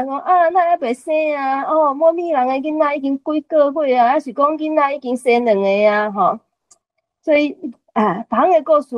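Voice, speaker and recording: A woman's voice: a little high, no room sound, low-quality recording. A woman with a Taiwanese accent gives prepared personal commentary as a member of a reading group.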